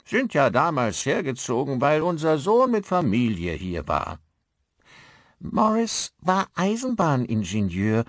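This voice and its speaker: A man's voice: medium pitch, very animated, measured pace, dry recording, studio quality, voice pushed up. A man voicing a sullen, elderly village woman who talks in a curt grumble.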